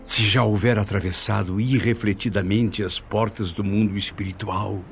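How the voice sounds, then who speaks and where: A man's voice: lowish voice, fairly even intonation, normal speed, natural voice. An actor playing a compassionate, devout middle-aged father in a Brazilian radio soap, speaking with earnest, solemn concern. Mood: prayerful pleading. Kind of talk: radio soap opera acting. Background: music.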